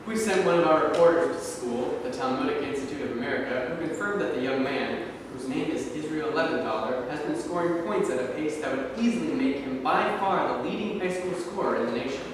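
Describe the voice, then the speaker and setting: A man's voice: a little high, lively intonation, brisk, hardly any pauses, lightly acted. A man playing a folksy, easily impressed TV sports co-host in a staged reading of a scripted broadcast. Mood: presenting. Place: live staged reading in a reverberant room. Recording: echoey hall, distant mic, clear background noise.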